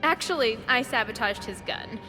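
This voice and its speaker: A woman's voice: fairly high pitch, expressive, normal speed, natural voice. A woman playing a friendly, plucky young volunteer in a video game, chatty and open in manner. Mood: chatty, matter-of-fact. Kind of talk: game dialogue acting. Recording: reverberant, music behind.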